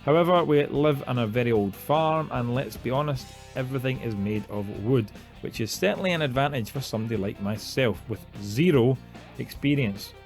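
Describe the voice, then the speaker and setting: A Scottish man in his thirties hosts a farm vlog with a middle-pitched voice. He talks casually and self-deprecatingly, pausing on plenty of ums and uhs. Light guitar music plays faintly behind him. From Glasgow, Scotland. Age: 30s. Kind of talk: casual talk to camera.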